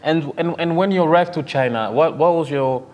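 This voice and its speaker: A man's voice: on the high side, darkish tone. Hesitant male interviewer, roomy reverb. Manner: halting questions with many fillers and restarts. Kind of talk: casual interview chat.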